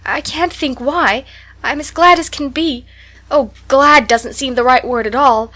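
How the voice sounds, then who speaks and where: A woman's voice: on the high side, a little fast, a bit bright, natural voice. A woman voicing an eager, talkative orphan girl who speaks in a breathless, imploring, dreamy way in an audiobook. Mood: overjoyed. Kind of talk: audiobook character voice.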